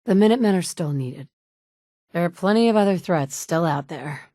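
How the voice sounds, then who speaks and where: A woman's voice: lowish voice, expressive, moderate pace, warm tone, dry recording, clean studio sound, natural voice. A woman voicing a determined young mother who searches a ruined world for her kidnapped son, blunt and dryly sarcastic, recorded as video game dialogue. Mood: steady. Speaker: player character voice actress. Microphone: studio mic.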